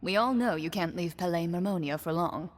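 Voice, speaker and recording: A woman's voice: on the low side, a little fast, close to an everyday voice. A woman playing a composed, dryly witty official in a game, speaking politely and wryly. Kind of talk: game voice acting. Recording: long reverb, faint background noise.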